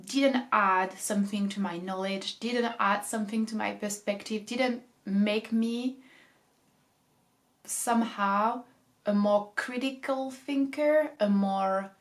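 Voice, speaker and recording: A woman's voice: medium pitch, takes it slowly. A young woman coming down with a cold, talking casually to camera as a book vlogger, reflective and hedging her points. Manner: reflective, hedges often with "I feel like" and "um".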